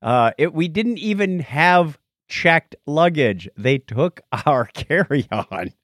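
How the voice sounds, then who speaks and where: A man's voice: a little high, animated, takes it slowly, fairly bright tone, no room sound, clean studio sound. A man co-hosting a podcast, chatting casually and telling personal anecdotes. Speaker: podcast co-host.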